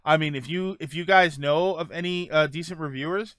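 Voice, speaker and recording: A man's voice: a little high, fairly quick, fairly bright tone, no echo. A middle-aged man hosting a casual podcast, rambling through viewer comments with many fillers and self-corrections. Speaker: podcast host.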